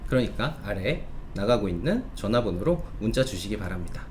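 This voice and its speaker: A man presenting stock market commentary with a sales pitch, polite and explanatory, stretching key words for emphasis. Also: big pitch swings, fairly quick, a little mellow, a little hiss or hum.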